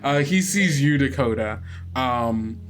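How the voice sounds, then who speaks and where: A man narrating a tabletop game as game master in a halting, conversational, amused way. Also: mid-range voice, lively intonation, slight background noise. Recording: guitar music under the voice.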